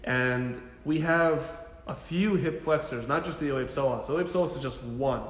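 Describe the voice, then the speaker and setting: A man giving casual, informal health advice as a physical therapist answering questions, in a blunt, jokey, animated way with emphatic repetition. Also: mid-range voice, moderate pace. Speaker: physical therapist hosting a live Q&A. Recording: echoey, reverberant room.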